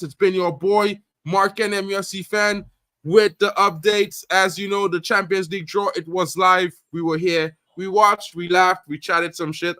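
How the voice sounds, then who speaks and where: A man from Trinidad hosting a casual live-stream chat, animated and loud, full of fillers like "you know what I mean". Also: high voice, no room sound. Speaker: host.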